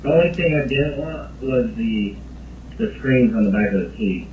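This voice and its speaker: A man's voice: medium pitch, expressive, normal speed. Male caller, customer role, casual and rambling, echoey phone sound with faint noise. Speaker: car-buying customer calling in. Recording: muffled, echoey recorded phone call.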